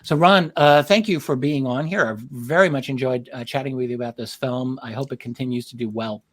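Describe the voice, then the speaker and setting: A man hosting a conversational interview, with halting, measured phrasing. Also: mid-range voice. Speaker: talk show host, interviewer.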